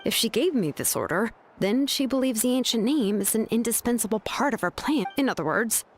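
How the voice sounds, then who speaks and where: A woman's voice: a little high, lively intonation, fairly quick, slight background noise, natural voice. An actress playing a weary, exasperated female master craftsman in a video game, with a hesitant, grumbling delivery. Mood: reluctant. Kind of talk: video game dialogue acting. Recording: game music behind the voice. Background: music.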